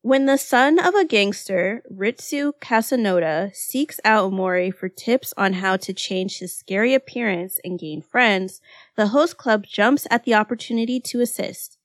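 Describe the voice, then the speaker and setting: A woman's voice: medium pitch, expressive, measured pace, no room sound. A woman in her thirties co-hosting a podcast in casual chat, laughing often and leaning on "like" fillers. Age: 30s. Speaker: podcast co-host.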